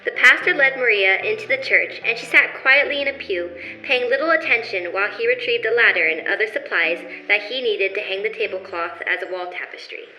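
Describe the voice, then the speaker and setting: A woman tells a story as a radio play narrator. Her voice is high and bright, recorded in a roomy space with faint music. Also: a little fast. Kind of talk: scripted storytelling in old-time radio manner.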